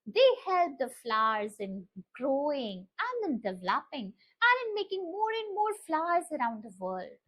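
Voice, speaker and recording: High, animated woman telling children's stories. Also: normal speed, few pauses, rough recording. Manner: expressive, teacherly, asks the listeners questions. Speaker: children's storyteller and channel host. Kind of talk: animated storytelling for young children.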